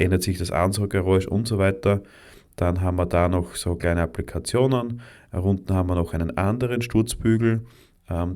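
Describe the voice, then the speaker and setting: An Austrian man chats casually to his viewers about his motorcycle project, with a keen, rambling manner full of fillers. His voice is low and stays fairly level in pitch. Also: darkish tone. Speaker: motorcycle vlogger. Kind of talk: casual vlog talk to viewers.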